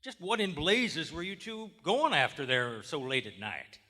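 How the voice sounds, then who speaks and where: Skeptical small-town lawman, played by a man: blunt, folksy, forceful bright voice, reverberant theater. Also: a little high, very animated, a little slow, exaggerated voice. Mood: exasperated, skeptical. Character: gruff, skeptical Southern small-town lawman interrogating a suspect. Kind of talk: live radio horror theater acting. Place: large theater stage, live performance. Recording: live stage in echoing theater hall.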